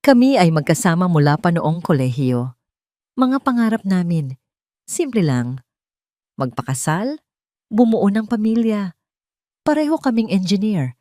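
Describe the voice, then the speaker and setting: A woman voicing a grieving, guilt-ridden man in an audio drama, speaking gravely and haltingly with pain. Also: lowish voice, very animated, warm tone, no echo, clean studio sound. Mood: wistful, pained.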